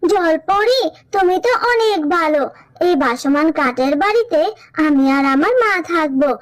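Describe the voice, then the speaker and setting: Woman playing small village girl, very high-pitched, childlike, sweet, timid, cartoon. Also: lively intonation, normal speed. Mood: happy, grateful. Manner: childlike, plaintive. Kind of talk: Bengali children's cartoon dub.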